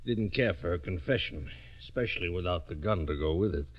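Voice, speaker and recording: A chatty, wisecracking desk sergeant. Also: male voice, deep voice, animated, fairly quick. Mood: brisk. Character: easygoing male police sergeant. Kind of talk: 1940s radio acting. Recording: muffled old radio recording.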